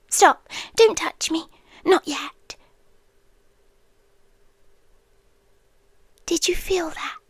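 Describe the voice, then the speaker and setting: A British woman playing an obsessive, teasing yandere girlfriend in a whispered, breathy ASMR roleplay with long pauses. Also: sits high, fairly bright tone, no echo. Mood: possessive, hushed.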